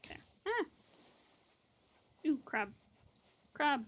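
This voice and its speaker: A woman's voice: sits high, sing-song, very slow, dull audio. A woman hosting a gaming video, giving casual, chatty commentary as she thinks aloud. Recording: light game music behind voice. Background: video game music.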